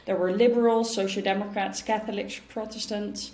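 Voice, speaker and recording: A Dutch-accented woman in her thirties presents a pre-recorded history talk, measured and full of small ums and uhs. Her mid-height voice carries a noticeable room echo. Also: mid-range voice, flat delivery, darkish tone. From Netherlands. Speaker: history teacher giving a talk.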